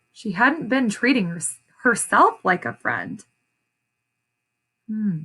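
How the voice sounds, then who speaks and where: A woman reading a children's story aloud as an educator, pausing to ask questions and explain things to young listeners. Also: a little high, pushed and bright, breathy edge, poor audio.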